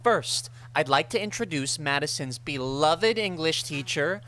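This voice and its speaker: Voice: mid-range voice, lively intonation, a little slow, pushed and bright, slight background noise. An actor plays an eager, formal party emcee in an old radio comedy. The voice rises and falls broadly as it announces to the room. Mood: hearty, eager. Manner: announcing to a gathering. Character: hearty party master of ceremonies, eager and formal. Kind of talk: vintage radio comedy acting.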